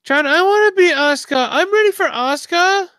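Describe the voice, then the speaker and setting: A man's voice: very high-pitched, expressive, a little fast, sharp tone, no room sound, studio quality, voice pushed up, heavily acted. A man voicing a pleading, wheedling woman in a comic podcast impression. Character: whiny, pleading woman wanting her boyfriend's favor.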